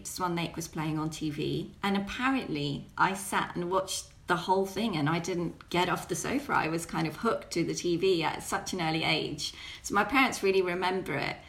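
A woman's voice: lowish voice, fairly bright tone. A young woman from Belgium, a ballet dancer and choreographer, answering interview questions in a reflective, chatty way with many fillers. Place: dance studios.

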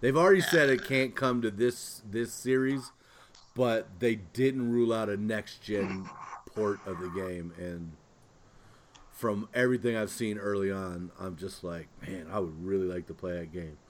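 A man's voice: fairly low pitch, measured pace. A man co-hosting a podcast in casual chat, talking in a rambling, loosely phrased way. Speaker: podcast co-host.

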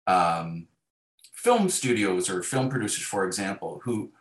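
A man's voice: deep voice, expressive, a little slow, reverberant. A middle-aged man speaking as a novelist in an interview, reflective and discursive, with wry self-deprecation.

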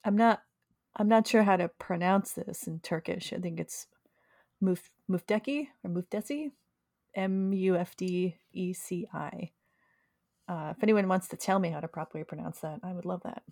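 Woman co-host from New York, slightly gravelly tired voice, chatty, dry close mic. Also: on the low side, moderate pace, a little mellow, dry recording. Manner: chatty, enthusiastic about bug facts. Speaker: podcast co-host. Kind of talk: casual chat.

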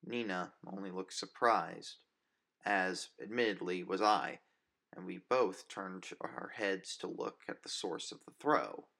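A man's voice: low voice, unhurried, gravelly, sharp tone. A man reading a story as narrator, in dramatic scripted first-person narration.

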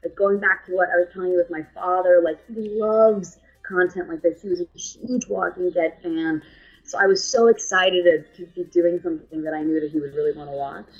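A woman in her thirties with a heavy New York accent talks casually, with plenty of restarts and small laughs. Her voice sits a bit low for a woman. The sound is dull and lo-fi. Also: steady tone, fairly quick, slightly rough. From New York, Staten Island. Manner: chatty, many restarts, laughs easily. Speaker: actress, guest. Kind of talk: casual interview chat.

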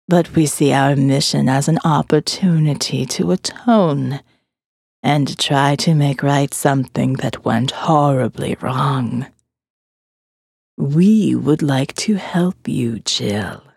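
A woman voicing a remorseful undead woman in an audio novel, halting, grave and formal, with a gravelly, breathy rasp. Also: a bass voice, a little mellow, dry recording, studio quality, pitched below the performer's own voice, exaggerated voice. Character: Lucille, solemn remorseful undead woman, speaking slowly.

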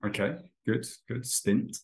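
A man in his thirties hosts a relaxed podcast for English learners. He sounds friendly, stops to explain words, and his speech is full of ums and restarts. His voice sits at an ordinary pitch for a man. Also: slow pace, creaky. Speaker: podcast host and English teacher. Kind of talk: casual interview for English learners.

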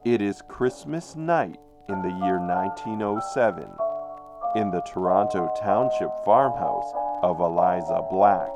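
A man narrating an old-time radio drama from a script in a dramatic, deliberate, ominous manner. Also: sits low, expressive. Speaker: narrator and announcer. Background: music.

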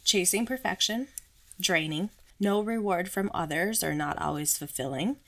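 Low-voiced woman, sick and slightly hoarse. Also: normal speed, a bit bright. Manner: chatty, self-deprecating, laughs often. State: sick, voice a little off. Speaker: podcast host. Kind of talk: casual solo podcast chat.

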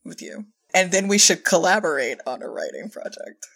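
A woman's voice: fairly low pitch, animated, moderate pace, fairly bright tone. A woman who directs and writes audio drama, talking casually in a podcast conversation.

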